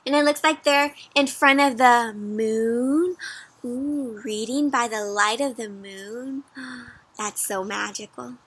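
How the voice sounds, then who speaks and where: A woman in her twenties doing an interactive storybook read-aloud for young children, animated and sing-song, often asking questions. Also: fairly high pitch, takes it slowly, breathy edge. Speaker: children's storybook reader and host. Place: outdoors in a leafy yard.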